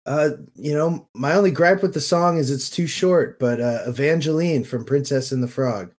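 A man's voice: a little high, moderate pace, a little mellow. A man co-hosting a podcast, talking casually in an informal, chatty, lightly joking way. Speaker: podcast co-host.

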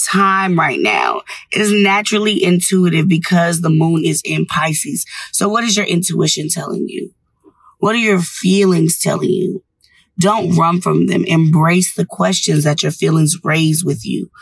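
A woman's voice: fairly low pitch, vocal fry, husky, dry recording. A woman hosting a radio show, delivering a scripted motivational monologue in an encouraging, instructive manner.

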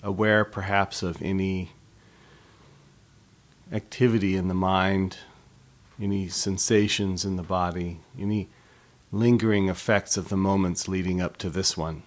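A man leading a guided body scan meditation as an instructor, speaking in a measured, gently instructive way with pauses between phrases. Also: low-pitched, steady tone.

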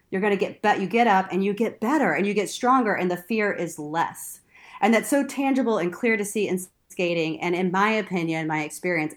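Playful, blunt woman in candid chat. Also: mid-range voice. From Texas, United States. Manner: playful, blunt, self-deprecating, swears freely. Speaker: interview guest, burlesque performer and instructor. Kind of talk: casual, candid conversation.

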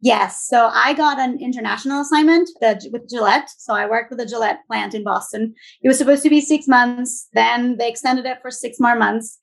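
Venezuelan woman in her 30s, chatty guest, kinda high and a bit gravelly. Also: normal speed, hardly any pauses. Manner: warm storytelling, lots of "like" and restarts, laughs easily. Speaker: guest, business owner. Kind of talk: casual interview.

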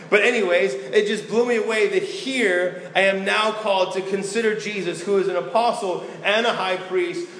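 A man's voice: high-pitched, a little hiss or hum. A male pastor preaching a sermon in a conversational way, with asides and restarts. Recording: echoey hall, faint ambience.

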